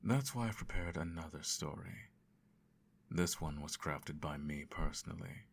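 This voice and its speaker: Man playing a very deep, creaky evil emperor. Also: slight background noise. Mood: solemn storytelling. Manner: formal, measured, condescending, quietly menacing. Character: cold, controlling evil emperor, adoptive father at bedtime. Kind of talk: scripted ASMR roleplay.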